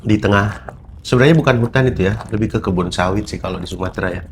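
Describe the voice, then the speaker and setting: A young man with a lowish voice chats in a relaxed, rambling way. He drifts from thought to thought with plenty of fillers, over a faint hum of ambience. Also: quick pace. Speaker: vlog host. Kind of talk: casual chat.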